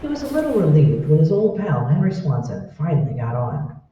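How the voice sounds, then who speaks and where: A man's voice: fairly high pitch, huge pitch swings, fairly quick, a little hiss or hum. A man narrating a scripted old-time radio drama. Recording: very echoey hall, distant mic, muffled.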